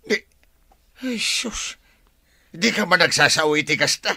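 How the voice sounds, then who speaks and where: An actor playing a married older father in an Ilocano radio drama, with a raspy voice and an Ilocano accent. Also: on the high side, animated, a little slow, sharp tone, dry recording, exaggerated voice. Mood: exasperated, scolding. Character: married older father, forceful and easily exasperated.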